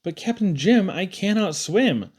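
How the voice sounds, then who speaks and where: A meek, pleading sailor from a children's pirate poem, put on by a male reader. The voice is pushed high and moves slowly, hesitant and helpless. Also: expressive, a little mellow, slightly rough, heavily acted.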